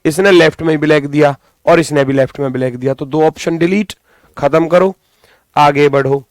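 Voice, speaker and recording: A man's voice: on the high side, fairly even intonation, moderate pace, no room sound, clean studio sound. A man teaching a live exam coaching class informally, giving directives and repeating phrases for emphasis, his throat strained as he recovers from losing his voice. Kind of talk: live class lesson, thinking aloud.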